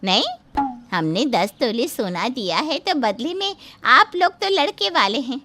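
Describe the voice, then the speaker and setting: A woman's voice: high-pitched, big pitch swings, a little slow, bright, forceful tone, soft background music, exaggerated voice. A woman playing a pushy, boastful middle-aged mother of a bride in a dramatized moral story, speaking insistently. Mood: indignant, demanding.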